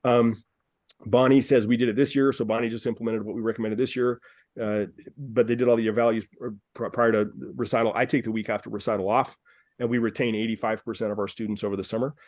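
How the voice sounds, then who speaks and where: A man's voice: medium pitch, little pitch movement, fast, a little mellow, dry recording. A man with a mid-range voice leading a group coaching call. He is upbeat and persuasive and often repeats phrases. His voice sounds dry and close. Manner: upbeat, persuasive, repeats himself, addresses callers by name. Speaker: dance studio business coach, call host. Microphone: video call.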